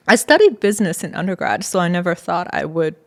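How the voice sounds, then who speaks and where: A woman's voice: on the low side, very animated, moderate pace. A middle-aged woman from China who grew up partly in the US, an academic telling her story conversationally as an interview guest. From born in China, moved to the US at eight. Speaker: podcast guest, sociology professor.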